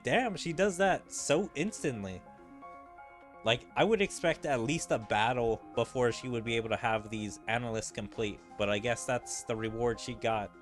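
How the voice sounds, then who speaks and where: A man with a mid-pitched voice streams a game and comments casually. He muses and speculates aloud in a loose, conversational way. Game music plays behind him. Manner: musing, speculative. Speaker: gaming streamer.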